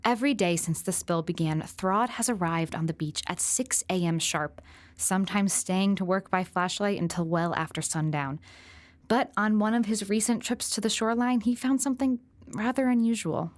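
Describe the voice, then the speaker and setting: A woman's voice: medium pitch, normal speed, dry recording, close to an everyday voice. A woman playing an earnest public radio field reporter with a composed, careful journalistic delivery. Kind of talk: scripted news narration and interviewing.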